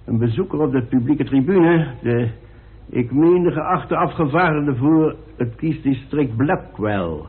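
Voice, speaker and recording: Formal coroner on muffled old radio. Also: male voice, fairly high pitch, lively intonation. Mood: formal, grave. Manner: formal, measured, official. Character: formal, authoritative male coroner presiding over an inquest. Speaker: actor in a radio play. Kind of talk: Dutch radio drama acting.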